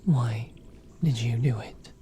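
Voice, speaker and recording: A woman voicing a devoted, protective female royal knight in a whispered audio roleplay, with soft, earnest questioning. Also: rumbling low, slow pace, a little hiss or hum. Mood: earnest, pleading.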